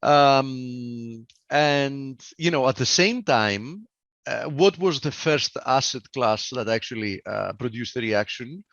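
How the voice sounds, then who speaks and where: A man with a Greek accent walks through currency charts and economic numbers, hesitating often with fillers. His voice sits at a middle pitch. It comes over dull, lo-fi call audio in a dry space. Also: expressive, slow, vocal fry, fairly bright tone, no room sound. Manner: hesitant, many fillers, reads data aloud. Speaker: market analyst. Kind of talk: live webinar market analysis.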